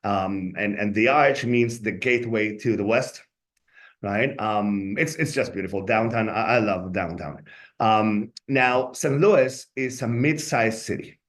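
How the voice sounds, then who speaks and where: A man's voice: lowish voice, a little slow. A man from Colombia whose first language is Spanish, speaking with a Colombian Spanish accent in a webinar. He sounds earnest and often ends his points with "right?". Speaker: law school staff member. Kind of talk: informational webinar talk.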